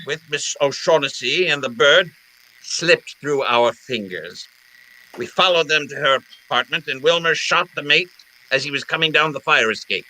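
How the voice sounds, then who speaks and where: A falsely jovial, polite villain of old-time radio drama, played by a man. His voice is very bright and fairly high, and it swings widely as he delivers florid, deliberate courtesies. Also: poor audio. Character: genteel, wily older criminal mastermind, falsely jovial and polite.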